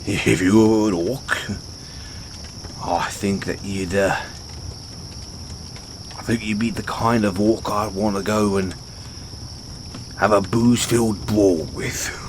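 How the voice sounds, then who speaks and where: A man playing a gruff but good-hearted orc barbarian in a fantasy audio roleplay, bashful and loyal, stumbling over his words in halting speech. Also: fairly low pitch, steady tone, background noise. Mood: earnest, bashful. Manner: halting, fumbling speech with sheepish stammers. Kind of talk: fantasy audio roleplay, intimate one-sided dialogue. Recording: outdoor night ambience with crickets behind.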